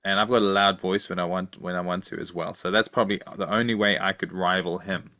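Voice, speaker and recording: A man's voice: deep voice, fairly even intonation, a little fast, bright, forceful tone, no echo, studio quality. A man co-hosting a podcast in casual chat, thoughtful and hesitant, often repeating his phrases. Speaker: podcast co-host.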